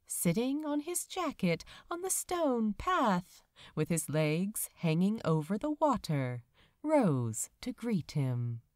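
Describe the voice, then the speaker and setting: A woman reading fan fiction aloud as a narrator, with measured, expressive storytelling delivery. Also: on the low side, no room sound, studio quality. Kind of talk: scripted audiobook-style narration.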